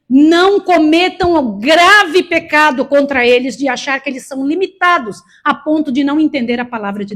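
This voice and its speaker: High-voiced Brazilian woman preaching, aged sixty. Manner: emphatic, repetitive, exhorting with direct commands. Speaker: preacher, missionary. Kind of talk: sermon to a church congregation.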